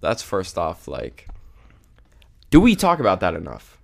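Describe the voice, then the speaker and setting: Young man, very low and gravelly, relaxed and slangy, holding a handheld mic. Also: measured pace. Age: young adult. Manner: slangy, laid-back, swears freely. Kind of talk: casual chat.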